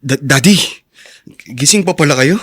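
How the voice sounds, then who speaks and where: A man's voice: fairly high pitch, moderate pace, a bit bright, a little hiss or hum, natural voice. An actor playing a jealous, defiant young man in Filipino radio drama, confrontational and accusing. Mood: defiant, hurt. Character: jealous, defiant young son and possessive boyfriend.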